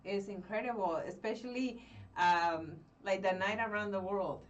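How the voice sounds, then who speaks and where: Woman interview host, easygoing and affirming, middle pitch, a little distant from the mic. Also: normal speed, dull audio, very noisy. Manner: brief affirming replies and easy laughter. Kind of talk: casual interview chat.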